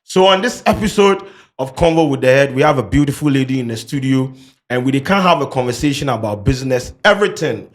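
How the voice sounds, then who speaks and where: A man with a Ghanaian English accent who mixes in Pidgin, hosting a casual studio interview in a lively, playful way. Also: mid-range voice, fast. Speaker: podcast host.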